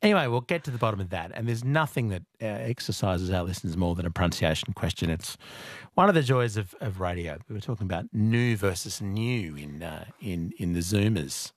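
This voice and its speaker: A man's voice: low voice, lively intonation, brisk, no room sound. An Australian man hosting a live radio show in a studio, chatting with playful, wry banter.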